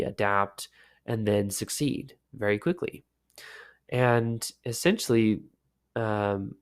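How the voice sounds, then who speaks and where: A man hosting a casual solo podcast, sick with a lowered, deep voice, talking in a relaxed, rambling way with many fillers. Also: clean tone. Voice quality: deep, low voice. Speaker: podcast host.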